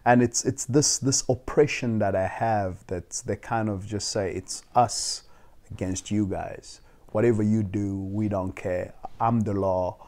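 Twenties male interviewee, warm low timbre, reflective and halting; recorded on a lapel mic in a home. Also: fairly even intonation. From South Africa. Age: 20s. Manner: thoughtful, with frequent restarts and soft laughs. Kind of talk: casual sit-down interview. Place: living room, seated on leather couch.

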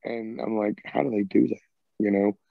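A man's voice: lowish voice, fairly even intonation, normal speed, gravelly, no echo. A man in his thirties with a Southern American accent, a rock singer casually answering interview questions with a rambling, laid-back manner over a video call. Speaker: rock band singer and guitarist. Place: home, by a sided wall. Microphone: video call, earbuds. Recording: muffled dry video call audio.

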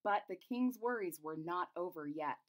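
A woman's voice: fairly low pitch, expressive, moderate pace. A middle-aged woman reading a children's story aloud with dramatic, emphatic expression. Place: small room indoors.

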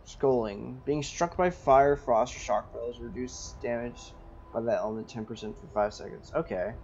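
A man's voice: medium pitch, normal speed, darkish tone, dull audio, a little hiss or hum. A man giving in-character gameplay commentary as he roleplays an adventurer in a video game. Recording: voice over game music. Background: video game music.

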